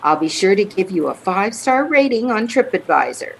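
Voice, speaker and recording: An actress as a sassy, wry passenger with a medium-pitched, very animated voice. It is radio play acting with faint background noise. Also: female voice, brisk, creaky.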